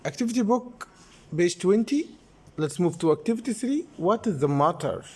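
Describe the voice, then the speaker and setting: Lively male English teacher. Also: high-pitched, normal speed, breathy edge. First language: Arabic. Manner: explains step by step, repeats page numbers. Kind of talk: classroom lesson explanation.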